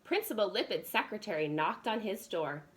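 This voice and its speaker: A woman's voice: mid-range voice, expressive, normal speed. A woman reading a children's storybook aloud as a preschool teacher would, expressively addressing young children.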